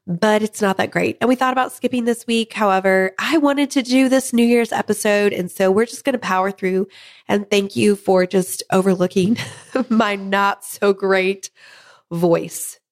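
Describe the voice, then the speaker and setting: A woman in her thirties hosting a podcast with her husband, her voice hoarse from a head cold, chatting casually and laughing now and then. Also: mid-range voice, moderate pace. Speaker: podcast host.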